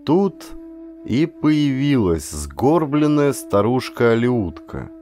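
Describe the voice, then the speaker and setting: A man reading scripted adventure narration in an expressive, dramatized storytelling manner. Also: mid-range voice, slow pace, faint music behind. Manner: expressive, acts out dialogue. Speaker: audiobook narrator, actor.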